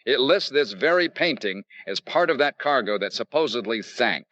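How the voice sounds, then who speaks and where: Man playing a lively, wry radio detective. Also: medium pitch, moderate pace, no echo, lo-fi sound. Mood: polite, firm. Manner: polite, firm, wry. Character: confident male insurance investigator, wry hardboiled narrator. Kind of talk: old-time radio detective acting and narration.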